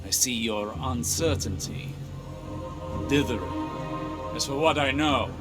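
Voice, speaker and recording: An actor playing a shrewd, authoritative advisor in a video game, dry, pointed and formal in delivery. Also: male voice, mid-range voice, slow pace, loud music behind the voice, lightly acted. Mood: dry, challenging. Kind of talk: dramatic game dialogue.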